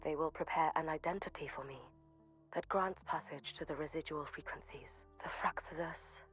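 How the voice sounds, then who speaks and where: An actress voicing a solemn, composed young shrine maiden in a video game, with formal, measured, grave diction. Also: female voice, sits low, in a whisper, lightly acted. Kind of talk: scripted video game voice acting. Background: background music.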